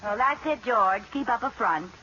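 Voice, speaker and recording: A high-voiced, flighty wife from a vintage radio sitcom. She delivers everything with theatrical exaggeration. The old broadcast is muffled, with clear hiss behind her. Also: lively intonation, normal speed, bright, forceful tone. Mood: dramatic, indignant. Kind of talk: 1940s-50s radio comedy acting.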